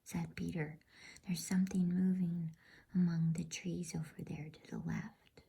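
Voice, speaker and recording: A woman whispering softly and soothingly in an unhurried ASMR style, as the host of a bedtime reading. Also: lowish voice, fairly even intonation. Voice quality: breathy whisper.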